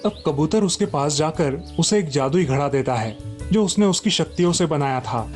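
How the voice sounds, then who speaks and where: A man's voice: a little high, animated, normal speed, darkish tone, soft background music. A man narrating a scripted children's story as the storyteller.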